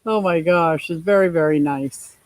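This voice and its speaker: A woman in her sixties with a deep voice, hosting a casual livestream, reading viewer comments and laughing often in a gushing, playful way. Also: moderate pace, no echo. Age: 60s. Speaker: livestream host.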